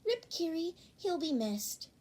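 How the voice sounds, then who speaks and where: Woman as a high, bubbly teasing teenage girl. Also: big pitch swings, a little slow, a little mellow, exaggerated voice. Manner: gushing, teasing, slangy.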